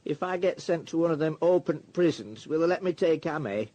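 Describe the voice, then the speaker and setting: A man's voice: on the high side, lively intonation, brisk, mellow, natural voice. An actor playing a simple, anxious working-class man in a radio drama, speaking colloquially and sheepishly. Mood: sheepish, worried. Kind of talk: naturalistic radio drama acting.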